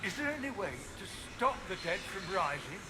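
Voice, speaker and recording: An actor playing an anxious, beleaguered village mayor in a fantasy video game, flustered and deferential. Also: male voice, sits high, sing-song, moderate pace. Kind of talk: video game cutscene acting. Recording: loud outdoor background noise.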